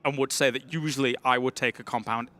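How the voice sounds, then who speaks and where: A man casting a live esports match with excitable, playful play-by-play. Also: mid-range voice. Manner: excitable, quick reactions, playful banter. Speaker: esports caster.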